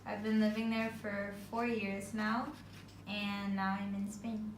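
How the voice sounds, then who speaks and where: A woman's voice: mid-range voice, level tone, slow. A woman in her twenties, originally from Egypt, giving short, plain answers in an interview. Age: 20s. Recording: roomy, distant mic with faint noise.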